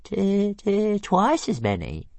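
Storybook creature: a tiny stubborn dragon with a high squeaky stammer, sulky. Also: male voice, huge pitch swings, slow, gravelly, darkish tone, dry recording, exaggerated voice. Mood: eager. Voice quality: heavy stutter, high squeaky voice. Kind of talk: audiobook character voice.

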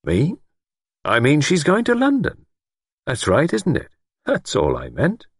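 A man acts out a pompous lord from an audiobook, pitched up and swinging theatrically. He is grand and condescending, with menace under every word, and his voice has a husky edge. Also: huge pitch swings, unhurried, no room sound, clean studio sound, heavily acted. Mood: chuckling malice. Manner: grandiose, condescending, softly threatening. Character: pompous, menacing lord convinced a higher power serves him. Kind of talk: audiobook character acting.

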